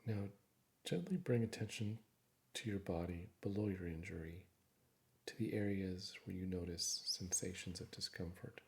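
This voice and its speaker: Male meditation guide, low-pitched voice with plenty of vocal fry, soothing measured delivery. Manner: calm, soothing, measured with frequent pauses. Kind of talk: guided meditation, gentle scripted narration.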